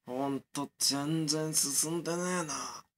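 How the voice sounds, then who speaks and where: A man's voice: fairly high pitch, steady tone, slow pace, a bit bright, a little hiss or hum. A man playing an easygoing young man in an audio drama, talking in a blunt, colloquial, muttering way. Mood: musing. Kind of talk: naturalistic audio drama dialogue.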